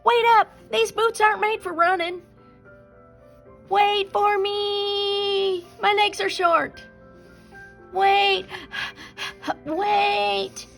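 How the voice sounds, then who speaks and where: A woman's voice: extremely high pitch, fairly even intonation, drawn-out words, gravelly, long pauses, a bit bright, soft background music, pitched above the performer's own voice, exaggerated voice. A woman voicing a small, feisty young chicken in a storybook, jumpy and exclaiming. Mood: whining. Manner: exclaiming, stammering when flustered.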